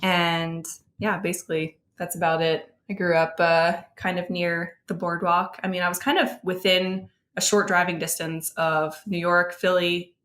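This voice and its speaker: American woman, slightly low-pitched, chatting casually. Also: flat delivery, normal speed, few pauses, a bit bright, a little hiss or hum. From southern New Jersey, United States. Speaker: podcast co-host. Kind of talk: casual chat.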